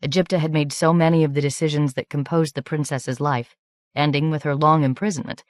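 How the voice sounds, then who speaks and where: A woman's voice: low-pitched, dry recording. Woman reading an audiobook, dry close sound. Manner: measured, even reading with light character shading. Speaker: audiobook narrator. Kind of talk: scripted narration.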